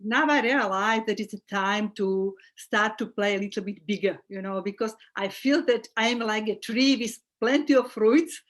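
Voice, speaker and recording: A woman's voice: mid-range voice, moderate pace, hardly any pauses. Slovak-accented woman, earnest coach. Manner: earnest, with small restarts and fillers. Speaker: holistic well-being coach, guest. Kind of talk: casual interview chat.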